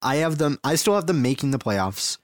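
A man's voice: fairly high pitch, sing-song, brisk, dry recording. A young man co-hosting a casual soccer preview, speaking hesitantly with many fillers and stammers. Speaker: podcast co-host.

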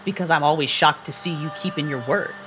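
An actress playing a domineering vampire clan leader in an audio drama, mocking and condescending. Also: female voice, low voice, fast talker, fairly bright tone, slight background noise. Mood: mock-surprised, commanding.

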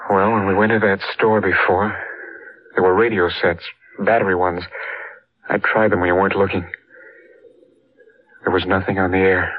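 A husky, shaken young husband, old radio drama. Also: male voice, sits low, level tone, moderate pace, pauses often. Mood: subdued, resigned. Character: bewildered young husband from the country, shaken, stubbornly doubting. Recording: dull, lo-fi old radio recording.

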